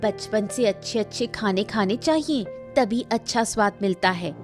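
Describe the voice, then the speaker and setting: A woman's voice: mid-range voice, normal speed, close to an everyday voice. A woman voicing a proud woman of a rich family in a cartoon story, who speaks in a cutting, snobbish way. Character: proud, sharp-tongued woman of a rich house. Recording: soft background music.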